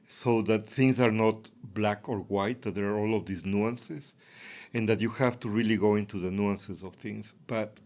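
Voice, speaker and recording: Male professor, low voice, Ecuadorian Spanish accent, answering interview questions reflectively. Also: a little mellow. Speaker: academic guest, professor.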